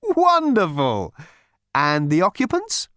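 A sly, beaming psychiatrist , as a male reader puts him on, with a high, sing-song lilt. The pitch swoops widely. The sound is dry and close. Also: huge pitch swings, slow, pushed and bright, no echo, full character voice. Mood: smarmy. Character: smarmy cheerful male psychiatrist, grinning and sly. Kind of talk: audiobook character reading.

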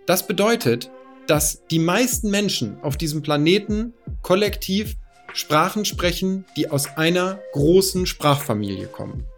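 A man in his thirties from northern Germany, calmly and methodically explaining language families in a personal monologue. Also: sits high, measured pace, faint music behind. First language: Low German. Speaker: podcast host.

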